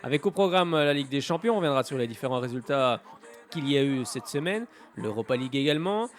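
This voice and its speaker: A man's voice: mid-range voice, lively intonation, fast talker, soft background music. A man with a head cold hosting a casual radio sports show, talking hesitantly with many filler sounds and small jokes. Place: radio studio.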